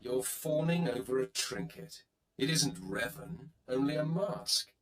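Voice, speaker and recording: A man playing an arrogant, blunt young dark-side warrior initiate in a video game, curt and dismissive. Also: medium pitch, measured pace, reverberant. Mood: scornful. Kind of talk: scripted video game voice acting.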